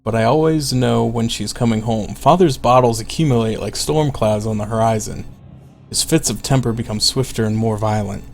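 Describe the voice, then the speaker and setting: A man's voice: medium pitch, moderate pace, lightly acted. A man voicing a haunted, bitter male trainee mage in a fantasy story. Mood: haunted, pained. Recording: clearly noisy background.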